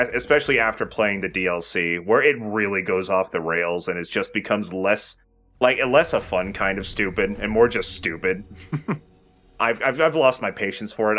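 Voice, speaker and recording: Low-voiced man casually commentating on a game. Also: normal speed, sharp tone, faint music behind. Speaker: let's play host.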